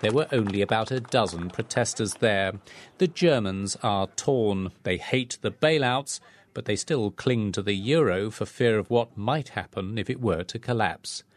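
A man filing a scripted radio news report in a measured, deliberate delivery. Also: on the low side. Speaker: radio correspondent.

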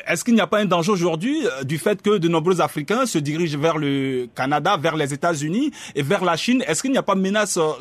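A man's voice: a little high, no echo. A man moderating a radio debate panel, asking probing questions and addressing guests formally.

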